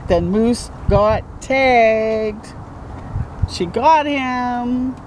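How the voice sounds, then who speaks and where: A woman reading a children's picture book aloud as a storytime host, expressive and chatting to young listeners. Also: fairly high pitch, extremely slow, slight background noise, rough recording. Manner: expressive, interjects comments and questions to young listeners.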